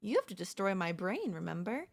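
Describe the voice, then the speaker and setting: A woman's voice: mid-range voice, big pitch swings, fairly quick, creaky. A woman voicing a sly, chatty female zombie in a comedic audio roleplay, coaxing and reasoning in a casual, conversational way. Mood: nervous persuasion.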